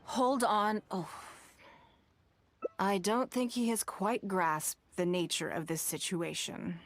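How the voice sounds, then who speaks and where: A woman's voice: mid-range voice, fairly even intonation, takes it slowly. An actress playing a shrewd, wealthy noblewoman in a video game, composed, assured and refined. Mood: calm, amused. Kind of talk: video game character acting.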